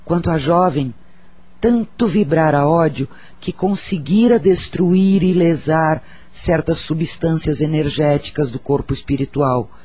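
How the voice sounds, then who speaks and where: An actor with a Brazilian accent playing a solemn, gentle spirit mentor in a radio drama, speaking in a measured, formal way. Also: male voice, fairly high pitch, husky.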